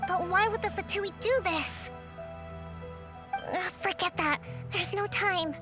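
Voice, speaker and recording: Very high-voiced, composed young leader. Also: female voice. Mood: urgent concern. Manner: formal, measured, authoritative. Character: composed young female resistance leader, caring, decisive. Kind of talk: dramatic video game voice acting. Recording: music playing behind.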